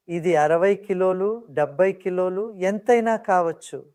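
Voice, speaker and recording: A meditation instructor guiding listeners in a calm, soothing and measured manner, pausing between instructions. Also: mid-range voice, no room sound, clean studio sound. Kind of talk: guided meditation narration.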